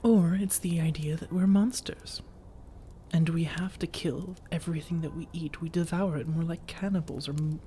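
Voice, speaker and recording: A woman playing an elegant, long-lived female vampire in a soft-spoken ASMR roleplay, breathy and often whispering, with measured, formal, persuasive phrasing. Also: low voice, steady tone, a little hiss or hum, natural voice. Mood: indignant, composed. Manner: measured, deliberate, formal, coaxing.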